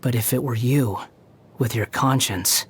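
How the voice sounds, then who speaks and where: An actor playing a haughty, sardonic young male puppet wanderer in a game, speaking in a measured, disdainful, theatrical way with a bitter streak. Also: mid-range voice, slightly rough. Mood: sly warning. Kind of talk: scripted game character voice lines.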